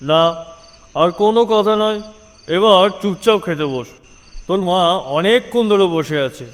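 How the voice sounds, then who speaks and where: A man playing a loving, self-sacrificing father in an audio story, coaxing and gently insistent. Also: high voice, moderate pace, a little hiss or hum, lightly acted. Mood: fond, insistent. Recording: long hall-like reverb.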